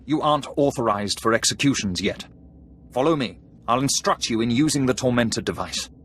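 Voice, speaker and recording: An actor playing a cold, contemptuous Sith overseer who gives curt orders in a science fiction game. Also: male voice, medium pitch, lively intonation, a little fast, fairly bright tone, slight background noise, natural voice. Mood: scornful, commanding. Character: stern, contemptuous Sith overseer instructing an acolyte in torture.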